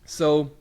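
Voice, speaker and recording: A man's voice: on the high side, gravelly, darkish tone, husky. A young man from Bulgaria who grew up in Sweden, talking as a guest in a casual interview, rambling with many fillers and restarts. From Bulgaria, raised in Sweden.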